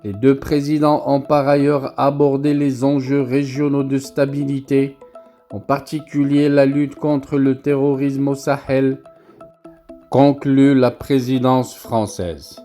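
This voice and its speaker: A man narrates scripted news commentary at a slow pace, with deliberate, emphatic phrasing. His delivery stays quite level in pitch and slightly soft-edged in tone. Faint music plays behind him. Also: flat delivery.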